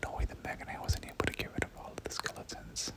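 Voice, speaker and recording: A gaming creator giving ASMR game commentary in a breathy whisper, often repeating words in soft rhythmic runs. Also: quick pace.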